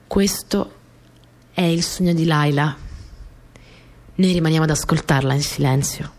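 An actress playing a small, earnest, easily flustered young wartime partisan courier, telling her story in an intimate, expressive radio drama monologue. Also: sits low, measured pace. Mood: earnest, reflective.

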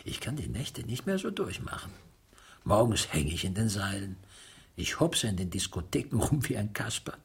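Husky, world-weary middle-aged man. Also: lowish voice, big pitch swings, normal speed, exaggerated voice. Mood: weary, resigned. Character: world-weary middle-aged widower, suspicious, gruff yet consoling. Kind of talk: German radio drama acting, naturalistic dialogue.

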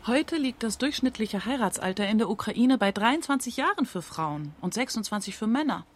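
A woman reading a translated interview as a radio documentary voice-over, in a conversational, measured way. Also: medium pitch, close to an everyday voice.

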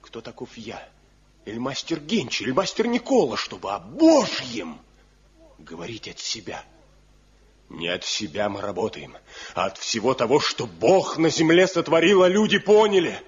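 A man's voice: mid-range voice, sing-song, moderate pace, natural voice. An actor playing a stern, pious traditionalist village craftsman, declaiming solemnly in Soviet radio drama style. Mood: solemn, devout. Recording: dull lo-fi 1970 radio recording.

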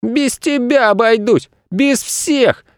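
A man voicing a small, spiteful boy who shouts petulantly and defiantly in a children's story. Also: extremely high pitch, slow, clear, resonant tone, no room sound, studio quality, pitched above the performer's own voice, exaggerated voice.

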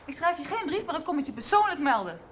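Fiery, high-pitched, fast-talking young woman. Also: sing-song, distant mic, heavy background noise. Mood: angry, scornful. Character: furious, scornful young woman, spurned and fiery. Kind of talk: radio soap dialogue.

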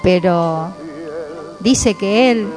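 A woman's voice: medium pitch, extremely slow, long reverb tail, music in the background. A woman playing a chatty, intrigued breakfast companion with an Argentine accent in a conversational radio drama.

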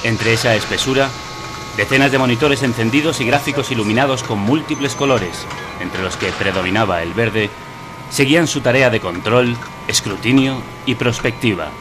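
A man performing scripted radio drama in the formal, deliberate, detached manner of an impassive announcer. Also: medium pitch, background noise, natural voice. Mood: solemn narration. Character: impassive male computer system and storyteller. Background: loud music.

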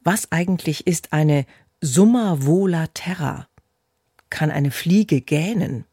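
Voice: medium pitch, slow, mellow, breathy edge, dry recording, studio quality. A radio announcer reading a scripted introduction to a programme.

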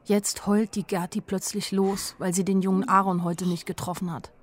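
A woman's voice: medium pitch, level tone, normal speed, lightly acted. An actress playing a naive but observant young woman who tells her own story in a wry, plain-spoken radio drama narration. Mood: confiding, wry.

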